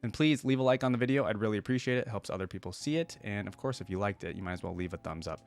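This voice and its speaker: A man's voice: fairly low pitch, rapid-fire, smooth. A man giving casual, unscripted commentary as a reaction video host, talking in a rambling, conversational way.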